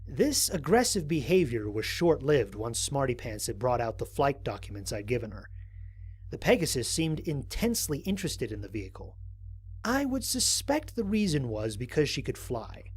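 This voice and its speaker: A man playing a curious, bemused space explorer, narrating mission logs in an expressive, musing way for an audio drama. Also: mid-range voice, breathy edge. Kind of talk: dramatic first-person mission log narration.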